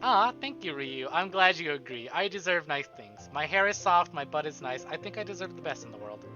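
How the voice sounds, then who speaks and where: A man live-streaming a visual novel, reading it aloud and breaking into casual, expressive asides with swearing. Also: a little high, brisk, dull audio. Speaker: game streamer. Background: game music.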